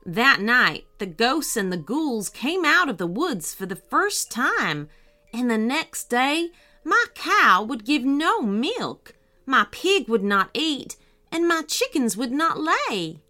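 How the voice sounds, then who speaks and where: A woman voicing a sad, tired, soft-hearted farmer with a slow, mournful way of talking in a children's story. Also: high-pitched, big pitch swings, heavily acted. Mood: aggrieved, sad.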